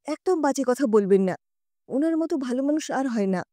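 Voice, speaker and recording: A curious young mother, played by a woman narrator who speaks up readily, with a high, lively voice. The sound is warm and mellow, dry and close to the mic. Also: moderate pace, dry recording. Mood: indignant.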